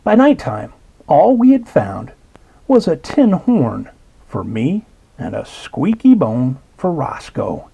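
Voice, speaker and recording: A man reading a children's picture book aloud, slow and expressive, pausing to point out details in the pictures. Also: on the high side, gravelly, husky, muffled recording. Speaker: storybook reader. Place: indoors at a table.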